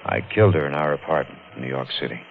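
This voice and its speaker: A man's voice: rumbling low, fast talker, gravelly. Noisy vintage radio thriller: haunted male killer, unraveling, overwrought and intense. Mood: drained resignation. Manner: overwrought, intense dramatic delivery. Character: haunted, guilt-ridden man who killed his wife, unraveling. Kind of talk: 1950s radio thriller acting, first-person narration. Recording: muffled, noisy old radio recording.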